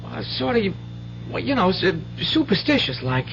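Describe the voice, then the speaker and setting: A man's voice: high-pitched, big pitch swings, moderate pace, creaky, heavily acted. An actor playing a cautious, kindly teenage country boy with hesitant, folksy speech in a radio drama. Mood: uneasy. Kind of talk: 1970s radio drama acting. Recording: dull, hissy old radio broadcast.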